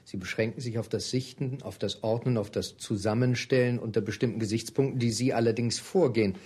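A man interviewing in a formal, measured way, putting long, carefully worded questions. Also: mid-range voice, steady tone, darkish tone, breathy edge.

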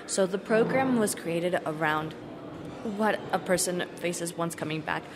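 A woman's voice: fairly low pitch, a little slow, noisy background. A woman working as a recruitment director, explaining her program thoughtfully in an interview and restarting as she searches for words.

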